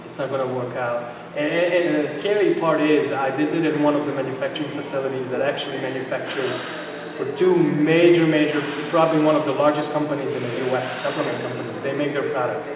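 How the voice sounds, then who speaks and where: A middle-aged man from Bosnia, a native Bosnian speaker with a Bosnian accent, tells stories in an interview at a medium pitch. The sound is very reverberant, with clear noise around him. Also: steady tone, fairly quick. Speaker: interview guest, supplement company founder. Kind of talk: conversational interview storytelling. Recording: echoey hall, distant mic, noisy ambience.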